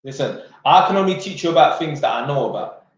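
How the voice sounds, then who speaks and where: A man's voice: fairly high pitch, animated, fast talker, muffled recording. A man reads out viewer questions and answers them bluntly in casual, slangy chat, swearing freely and joking. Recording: very echoey room.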